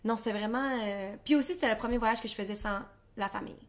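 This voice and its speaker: Québécois woman co-host, rambling storyteller with lots of fillers, fairly high voice. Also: fast talker. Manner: rambling, filler-heavy with "genre". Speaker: podcast co-host. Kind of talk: casual chat.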